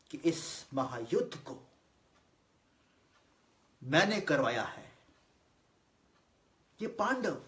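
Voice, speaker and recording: A man performing a theatrical monologue as a solemn god, declaiming deliberately with weighty pauses in formal speech. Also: a little high, fairly bright tone. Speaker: monologue actor. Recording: roomy, echoing voice recording.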